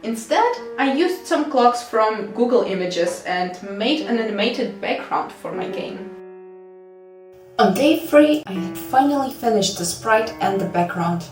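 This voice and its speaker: High-voiced woman, roomy and distant. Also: animated, unhurried. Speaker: video creator, indie game developer. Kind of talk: scripted vlog narration. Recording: roomy echo, distant mic, music behind. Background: instrumental music.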